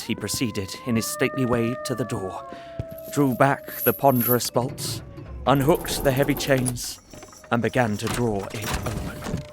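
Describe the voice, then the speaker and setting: A man's voice: mid-range voice, close to an everyday voice. Actor as an anxious young Englishman over music. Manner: earnest, fearful, literary. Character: anxious, trapped young Englishman writing his journal. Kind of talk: dramatic first-person journal narration, audio drama.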